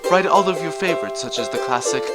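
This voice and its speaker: A man's voice: a little high, animated, quick pace, loud music behind the voice, close to an everyday voice. A man playing an upbeat announcer in a parody radio commercial for a theme park. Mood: promotional.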